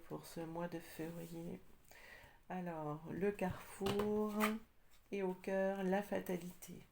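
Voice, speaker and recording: A woman with a slight cold doing a casual tarot reading, talking hesitantly with many pauses and fillers. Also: on the low side, a little mellow, a little hiss or hum. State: has a cold, slightly congested. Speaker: tarot card reader.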